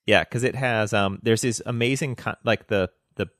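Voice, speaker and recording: Dude with a lowish voice cohosting a podcast, dry sound, lots of likes and ums. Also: male voice, a bit bright, no echo. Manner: halting, filler-heavy explaining. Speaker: podcast co-host. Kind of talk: casual podcast chat.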